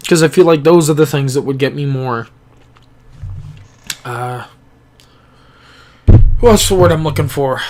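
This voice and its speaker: A young man talking earnestly and reflectively into his camera in a rambling personal vlog, pausing and restarting as he thinks. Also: medium pitch, darkish tone, a little hiss or hum. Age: young adult. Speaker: gaming YouTuber. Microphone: camera-mounted microphone, close.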